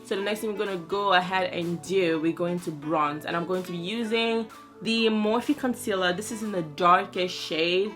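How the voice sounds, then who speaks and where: A woman's voice: fairly low pitch, moderate pace, a bit bright, soft background music. A young woman whose first language is French, chatting through a makeup tutorial in a sassy, playful way with slang asides. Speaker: beauty vlogger.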